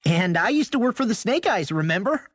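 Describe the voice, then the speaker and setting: A man's voice: high voice, very animated, brisk, no room sound. A scrappy, kindhearted teenage boy in a video game. His voice swings up and down as he talks in a casual, awkward, hesitant way, recorded close and dry. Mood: awkward reassurance. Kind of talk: dramatic video game acting.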